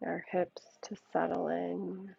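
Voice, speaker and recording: A woman yoga teacher leading a guided meditation in a soothing, unhurried way, with gentle pauses between short phrases. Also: lowish voice, level tone, creaky, slightly rough, lo-fi sound.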